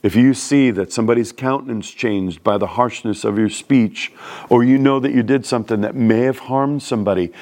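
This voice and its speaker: A man's voice: mid-range voice, normal speed, a little mellow. A man from New York City with a New York accent, preaching a sermon in a plain-spoken, conversational way. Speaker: pastor.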